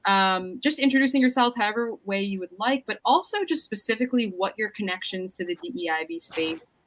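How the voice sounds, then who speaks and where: An upbeat woman moderates an online panel over a video call. She keeps her tone informal and easygoing and fills the gaps with "um" and "so". Her voice sits in a middle pitch. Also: expressive, a little fast, fairly bright tone. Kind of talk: hosting a webinar panel.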